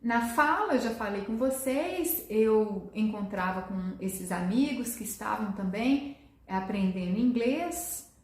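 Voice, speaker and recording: A middle-aged woman gives an unhurried Portuguese lesson, her voice sitting a little high as she walks through each point. She keeps asking questions and then answers them herself. The room around her is echoey. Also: expressive. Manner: explains step by step, often adds "né?" and asks itself questions. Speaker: Portuguese teacher. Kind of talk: informal online lesson.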